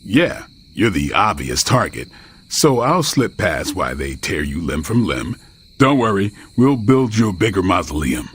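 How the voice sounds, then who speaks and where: Deep, animated gruff sardonic fighter. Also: male voice, unhurried, exaggerated voice. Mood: wry. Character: gruff, sardonic male fighter, dryly teasing. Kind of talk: video game cutscene acting.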